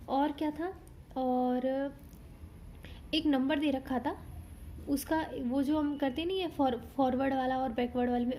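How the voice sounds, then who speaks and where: A young woman from India casually talking through her exam, a little hoarse from a sore throat, chatty and explanatory with frequent self-corrections. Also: sits high, warm tone, slight background noise. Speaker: exam candidate reviewing her paper. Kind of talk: casual vlog talk.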